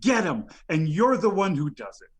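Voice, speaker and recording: High-pitched guy ranting all aggrieved, tripping over his words a lot. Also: very animated, moderate pace, slightly rough. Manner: indignant, rambling, stammers with many fillers. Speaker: nominee on a comedy show. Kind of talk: improvised comedy rant.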